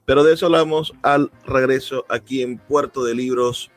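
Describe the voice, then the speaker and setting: A man's voice: medium pitch, steady tone, moderate pace, no echo. A man with a Venezuelan accent hosting a radio interview and putting questions to his guest.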